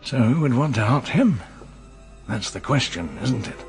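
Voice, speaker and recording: A man's voice: medium pitch, lively intonation, normal speed, soft background music, lightly acted. A man acting a courteous, shrewd London investigator with a British accent in a radio drama, polite and insinuating. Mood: probing, smooth.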